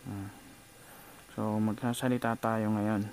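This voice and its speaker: A Filipino man talks casually as a gear vlogger. His pace is slow and unhurried, with English words mixed in. There is some noise behind him, like vehicle ambience. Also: on the low side, little pitch movement, darkish tone, poor audio. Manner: informal, chatty, mixes in English words. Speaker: vlogger reviewing motorcycle gear. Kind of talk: casual product demo vlog.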